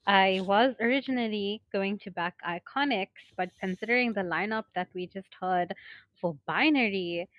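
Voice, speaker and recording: Casting note: female esports co-caster, playful and measured, mid-pitched, muffled stream audio. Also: no room sound. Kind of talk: live esports commentary chat. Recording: slightly dull lo-fi stream audio.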